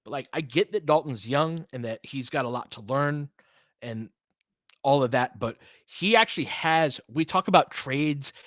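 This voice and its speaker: A man's voice: medium pitch, normal speed, fairly bright tone, no room sound, studio quality. A man doing casual live basketball play-by-play and analysis, conversational and openly rooting for his team with exclamations on big plays.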